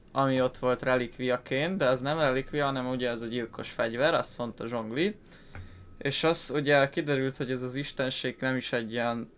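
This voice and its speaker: A man's voice: medium pitch, fairly bright tone, clear, resonant tone. A Hungarian man giving casual let's play commentary, explaining things in a rambling, informal, coarse way. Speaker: gaming YouTuber.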